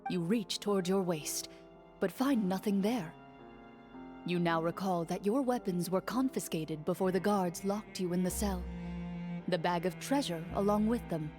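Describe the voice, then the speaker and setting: A woman narrating a tabletop game as game master, calm and measured. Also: on the low side, music in the background, close to an everyday voice. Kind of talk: scripted narration.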